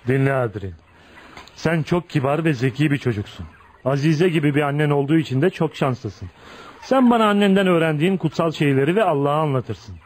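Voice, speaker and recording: A man's voice: on the high side, expressive, brisk. An actor playing a feared, ugly but kind-hearted tough man, blunt and probing, in a Turkish radio play. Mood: earnest, friendly. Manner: blunt, probing, folksy, punctuated with "ha?". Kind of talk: Turkish radio theater acting.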